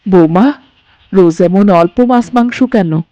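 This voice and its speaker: A woman's voice: mid-range voice, a little slow, mellow, no echo, highs cut off, lightly acted. A woman voicing a stern, suspicious middle-aged mother-in-law in a cartoon, scolding and commanding. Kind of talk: Bengali cartoon voice acting.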